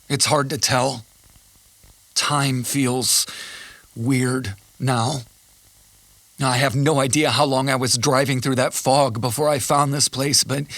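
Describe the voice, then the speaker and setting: An actor playing a weary, haunted husband recording his testimony after an apocalypse, halting and introspective, pausing between phrases. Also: medium pitch, a bit bright, dry recording. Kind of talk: confessional monologue, audio drama.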